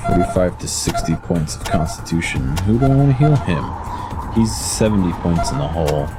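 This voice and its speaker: Low-voiced male game streamer with a lisp. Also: huge pitch swings, moderate pace. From United States. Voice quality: slight lisp. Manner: rambling, answers chat, hesitant fillers. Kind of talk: casual game-stream commentary. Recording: game music playing behind.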